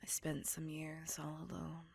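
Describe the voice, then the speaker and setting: Breathy, whispering seductive vampire woman, acted by a woman. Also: sits low, completely flat delivery, a little mellow, full character voice. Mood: wistful, brooding. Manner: slow, intimate, deliberate, savouring words. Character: seductive, possessive female vampire, vain and coldly vengeful. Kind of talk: whispered ASMR roleplay.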